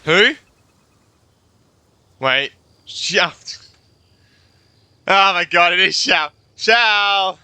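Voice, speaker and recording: A man's voice: high voice, extremely slow, long pauses, sharp tone, smooth, no echo. A man giving casual let's play commentary, reacting aloud to a game in a giggly way. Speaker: game streamer. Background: game music.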